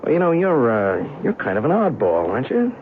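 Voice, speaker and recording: A man's voice: mid-range voice, huge pitch swings, a little fast. An actor playing a brash, skeptical American newspaperman in an old-time radio drama, casual and wisecracking. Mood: probing. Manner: breezy, colloquial, incredulous. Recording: tinny, noisy old radio recording.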